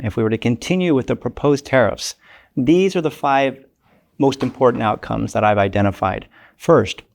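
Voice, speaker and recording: An older man, an economics professor, giving a measured, formal, lecture-like explanation. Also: mid-range voice, creaky. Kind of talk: prepared explanatory talk.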